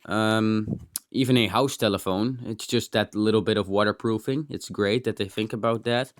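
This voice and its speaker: A man's voice: fairly low pitch, fairly even intonation, normal speed. A man giving a casual, unscripted hands-on gadget demo, rambling and thinking aloud with some mild swearing. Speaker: host of a tech teardown channel.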